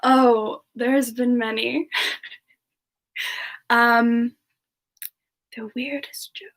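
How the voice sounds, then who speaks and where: A sweet, bashful female demon singer, played by a woman, chats softly in semi-ASMR livestream style. Her voice sits fairly high and moves very slowly. She is gentle and hesitant, often sinking toward a whisper. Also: steady tone. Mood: amused, shy.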